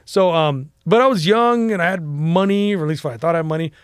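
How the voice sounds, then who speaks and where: A man's voice: a little high, brisk, clear, resonant tone, dry recording, studio quality. A Mexican-American man in his forties, telling a long casual story as a podcast guest, rambling with fillers and restarts. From United States.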